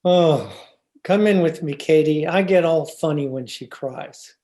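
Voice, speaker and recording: A woman's voice: low voice, unhurried, mellow. A woman playing a concerned, slightly sheepish husband in a 1940s-style radio comedy, earnest and conversational. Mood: flustered, sheepish. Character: concerned, mildly sheepish young-ish husband.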